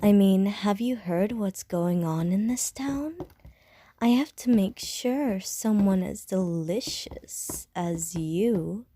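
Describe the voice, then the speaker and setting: A woman's voice: mid-range voice, warm tone, a little hiss or hum, close to an everyday voice. A woman voicing a flirtatious, predatory female vampire in an ASMR roleplay, teasing in sly, often whispered innuendo.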